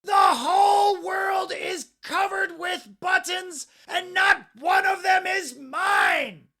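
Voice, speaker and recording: A man's voice: very high voice, takes it slowly, bright, forceful tone, no echo, raised voice, clean studio sound, some chatter behind, pitched above the performer's own voice, exaggerated voice. A man voicing a grumpy, easily upset male toad in a storybook, complaining with indignant emphasis. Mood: screaming fury.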